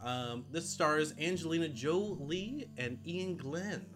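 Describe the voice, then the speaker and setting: A man's voice: on the high side, slow pace, music in the background. A man in his thirties, a podcast co-host, talking films casually and jokingly with some swearing. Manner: jokey, laughs often, swears casually. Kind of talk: casual film countdown chat.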